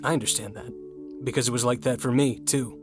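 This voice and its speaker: A man playing an earnest young American college rower in an audio drama, full of self-doubt. His voice sits at a middling pitch, with music clearly audible behind it. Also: steady tone, brisk, natural voice. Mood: reflective. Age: young adult. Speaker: narrator and lead character. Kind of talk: scripted audio drama, first-person narration and dialogue.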